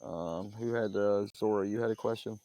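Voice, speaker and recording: A man's voice: on the low side, moderate pace, mellow. A man leading a casual roleplay police briefing, easygoing and full of fillers.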